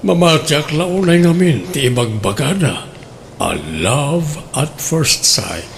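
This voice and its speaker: A man playing a suave, gravelly flirt. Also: fairly high pitch, sing-song, slow, a little hiss or hum, heavily acted. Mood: smooth. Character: smooth-talking flirtatious male customer, middle-aged. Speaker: radio drama actor. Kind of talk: comedic radio drama acting.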